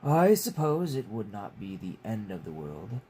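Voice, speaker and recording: A man reading a story aloud as a proud, stern father with a deep, rumbling, formal and commanding voice. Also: big pitch swings, measured pace, mellow, exaggerated voice. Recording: clear background noise.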